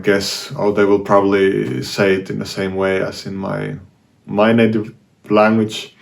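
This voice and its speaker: A man with a Finnish accent speaks slowly and unhurriedly, his somewhat low voice carrying a gravelly edge. He talks casually, pausing often and restarting his sentences as he thinks. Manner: hesitant, many fillers and restarts. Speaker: language learning vlogger. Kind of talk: casual talk to camera.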